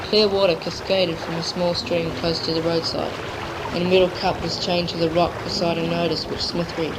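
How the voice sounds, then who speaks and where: A teenager reading scripted third-person literary narration in a measured way on an amateur cassette recording. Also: medium pitch, little pitch movement. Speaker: narrator in a school drama. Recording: noisy amateur 1980s cassette tape.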